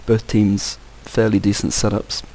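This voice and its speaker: A young Englishman with a fairly low voice walks through a video game match, calmly explaining tactics and dropping dry remarks. Faint noise hums behind him. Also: unhurried. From England. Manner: relaxed, explanatory, dry asides. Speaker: co-commentator. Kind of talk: casual video game commentary.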